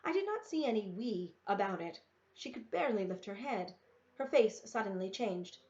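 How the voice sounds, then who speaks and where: Woman reading a book out loud, storybook narration, average pitch, kinda dull lo-fi sound. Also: sing-song, moderate pace, echoey room. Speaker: read-aloud narrator. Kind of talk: storybook narration, first person. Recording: slightly dull lo-fi recording.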